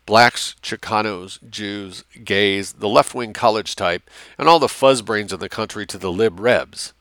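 A man's voice: on the low side, unhurried, a bit bright, no echo. A man voicing a blunt, cynical officer giving a briefing in a war novel, coarse and slangy. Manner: coarse, slangy, matter-of-fact.

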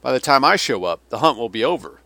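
A man's voice: medium pitch, big pitch swings, quick pace, creaky, pushed and bright, no room sound, voice pushed up, heavily acted. A man reading an audiobook as a weary, vulgar old Russian general, sardonic and theatrical, given to sneering rants. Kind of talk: dramatic audiobook character reading.

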